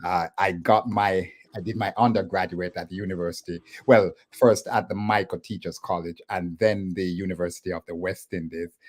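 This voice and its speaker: A middle-aged man with a Jamaican accent, a professor guest talking on a video call from a home office, measured with hesitant fillers. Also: low-pitched, steady tone, breathy edge.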